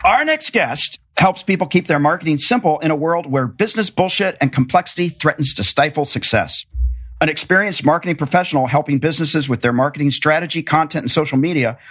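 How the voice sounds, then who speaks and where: A man's voice: a little high, a bit bright. An American man hosting a podcast, moving between introductions and conversational interview questions.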